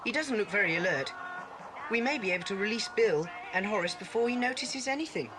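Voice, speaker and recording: Noisy old story tape, actor as a keen sensible teen boy, medium pitch, earnest and reassuring. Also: animated, brisk, close to an everyday voice. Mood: determined. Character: sensible, eager teenage boy adventurer. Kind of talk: 1980s audio drama acting. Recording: noisy old cassette tape, engine sounds.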